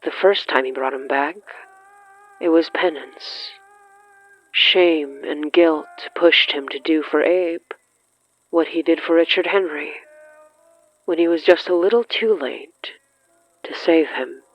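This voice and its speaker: A narrator reading scripted dramatic horror fiction in a measured, deliberate way with dramatic pauses. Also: medium pitch, dull audio. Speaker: narrator of an audio fiction podcast. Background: faint music.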